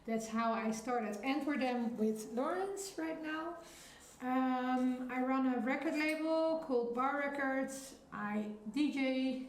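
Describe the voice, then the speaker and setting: A woman's voice: a little high, a little mellow. A woman who works as a DJ and club founder, chatting casually in an interview. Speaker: DJ, club founder and label owner being interviewed. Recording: echoey room with faint background noise.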